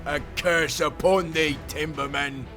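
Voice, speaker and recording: A man's voice: on the high side, fairly even intonation, unhurried, over loud music, lightly acted. An actor playing a surly, abusive male pirate lieutenant, scornful and cursing, in an audio adventure. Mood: hateful. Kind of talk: cinematic audio roleplay acting.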